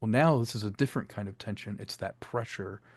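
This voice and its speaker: Low-voiced man in a dry interview. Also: brisk, a little mellow, no room sound. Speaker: filmmaker and audio drama creator, interview guest. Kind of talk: conversational behind-the-scenes interview.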